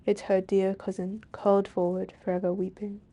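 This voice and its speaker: A woman's voice: medium pitch, level tone, moderate pace, mellow. A woman streaming a game, reading its narration aloud in a storytelling way and dropping into offhand casual reactions. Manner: switches between archaic scripted narration and offhand reactions. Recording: faint game music behind. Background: game soundtrack.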